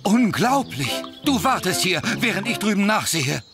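Cartoon older bus driver: excitable fusspot, expressive, very varied melody, high for a man. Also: normal speed, over loud music. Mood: eager, firm. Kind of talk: animated series dub acting.

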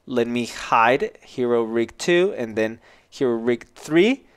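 Man, tutorial instructor, informal step-by-step explaining, crisp resonant voice, headset mic. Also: mid-range voice, lively intonation, slow pace. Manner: casual, demonstrative explaining. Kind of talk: informal software tutorial walkthrough. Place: small indoor office. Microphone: headset microphone.

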